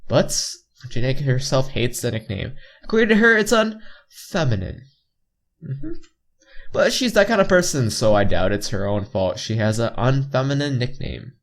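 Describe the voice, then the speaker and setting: A man's voice: mid-range voice, big pitch swings, moderate pace. A man reading visual novel narration aloud with playful, casual asides. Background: game music.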